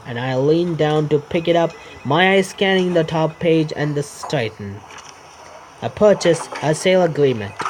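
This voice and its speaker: Casting: male gaming YouTuber, higher-than-average voice, halting read-aloud with repeats and asides, faint noise. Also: darkish tone, low-quality recording. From India. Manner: stumbles and repeats words, mutters asides. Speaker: gaming YouTuber reading a visual novel aloud.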